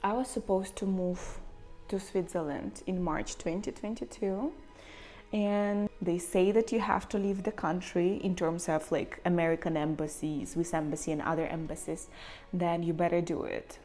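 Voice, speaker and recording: A young woman with a Ukrainian accent speaks to camera in a fairly low voice, halting and emotional, close to tears. She pauses often with fillers as she tells her story. Faint music plays behind her. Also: steady tone, mellow. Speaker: vlogger.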